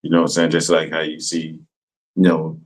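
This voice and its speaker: A man's voice: extremely low pitch, monotone, fairly quick, slightly rough. A man explaining greetings in a casual chat, laid-back, often asking "you know what I'm saying". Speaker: class member explaining greetings.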